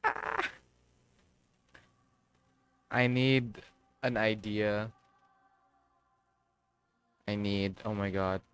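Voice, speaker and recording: A man's voice: fairly low pitch, fairly even intonation, very slow, pauses often, a bit bright, clean tone, dry recording. A man livestreaming a game, chatting casually and thinking aloud, with some swearing. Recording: dry close sound, faint music.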